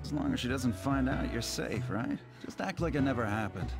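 A man playing a carefree, cynical young American in a video game. He speaks bluntly and mockingly in a medium-pitched voice over clear background music. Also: expressive, normal speed, close to an everyday voice. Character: cynical, carefree womanizing buddy of the hero. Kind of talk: video game cutscene acting.

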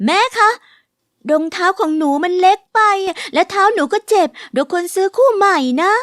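A woman's voice: extremely high pitch, moderate pace, no room sound, studio quality. A woman voicing a stubborn, spoiled young girl in a dubbed cartoon, with an insistent, demanding manner. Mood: pleading. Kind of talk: cartoon dubbing.

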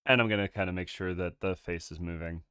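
A man streaming a game, chatting casually and hesitantly with many ums while he has a cold. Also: deep voice. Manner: halting, full of ums, nervous laughs. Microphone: desk microphone.

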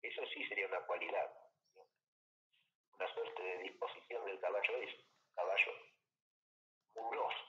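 An Argentine man teaching a logic lesson at a relaxed, patient pace, often dropping in short check-in questions. His voice sits in a middle range and comes through a dull, echoey call line. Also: low voice, moderate pace, pauses often. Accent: Rioplatense Spanish. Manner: patient, explanatory, checks understanding with tag questions. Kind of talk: tutoring lesson over a call.

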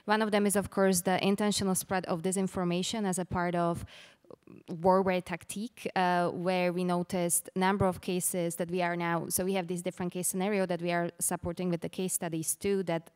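A woman gives formal panel remarks in a smooth, clear voice. Her pitch sits low for a woman and stays even. She speaks into a handheld mic in a large conference room. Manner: measured, formal, some fillers. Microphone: handheld microphone.

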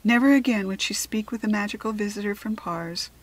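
A woman with an American accent reading children's fiction aloud as an expressive narrator, enunciating carefully. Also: mid-range voice, a little fast, poor audio. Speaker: storybook reader.

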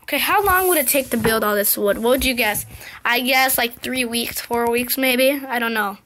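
A young girl commentating her gameplay in a casual, excited, chatty way, her voice slightly scratchy. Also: a little high, moderate pace. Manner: excited, chatty, impatient exclamations. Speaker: gameplay video host.

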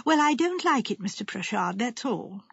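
Storybook nurse, voiced by a woman: grave, sensible, matter-of-fact, wary, higher female register. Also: very animated, moderate pace, vocal fry, slightly rough, dry recording, dull audio, heavily acted. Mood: suspicious. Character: grave, practical, shrewdly suspicious female nurse. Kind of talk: audiobook character voice.

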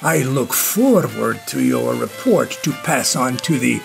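Man acting gravelly, formal Cold War colonel. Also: mid-range voice, expressive, measured pace, over music. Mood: pleased, conspiratorial. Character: military colonel, secretly a Soviet double agent. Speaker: voice actor. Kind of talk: radio play acting.

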